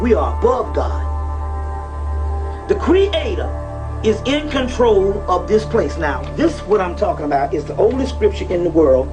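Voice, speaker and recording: A man giving a fiery, informal lecture to a live audience, preaching emphatically and repeating phrases, often with profanity. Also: high-pitched. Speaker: lecturer on esoteric teachings. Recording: distant live lecture recording, music over it. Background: music.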